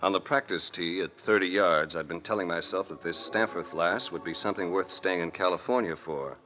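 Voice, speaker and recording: A man's voice: sits low, fairly quick, sharp tone, soft background music. An actor playing a suave, wry adventurer hero who narrates his own case in an old radio detective drama. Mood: wry narration. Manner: smooth, wry, flirtatious, unflappable. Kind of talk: 1940s-50s radio detective drama acting and first-person narration.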